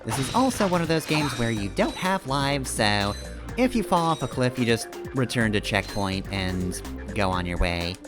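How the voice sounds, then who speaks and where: Wry male game streamer with lively intonation. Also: medium pitch, normal speed. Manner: rambling, analytical, wry. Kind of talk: casual live gameplay commentary. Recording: game music behind the voice. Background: video game music.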